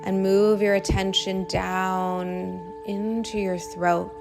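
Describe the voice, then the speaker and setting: A woman leading a guided meditation in a soothing, unhurried manner, speaking in short phrases with long pauses. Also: medium pitch, little pitch movement, gravelly. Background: singing bowl meditation music.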